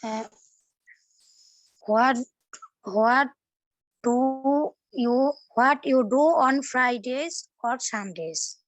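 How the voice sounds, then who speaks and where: Hesitant slow-speaking woman student. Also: sits high, no echo, low-quality recording. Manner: hesitant, many fillers, searching for words. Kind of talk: classroom practice. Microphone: video call.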